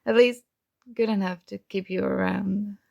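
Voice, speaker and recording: A woman playing a secretly jealous, teasing roommate in an intimate one-sided ASMR roleplay, with hesitant pauses. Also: medium pitch, steady tone, creaky, warm tone, dull audio. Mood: skeptical, prodding. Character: secretly jealous female roommate, teasing, hurt but feigning indifference.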